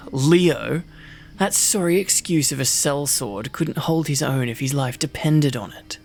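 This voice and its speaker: A man playing a prickly, easily flustered wolfkin mercenary in an ASMR roleplay, gruff and sardonic, secretly protective. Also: mid-range voice, measured pace, darkish tone, a little hiss or hum. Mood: irritated, sardonic.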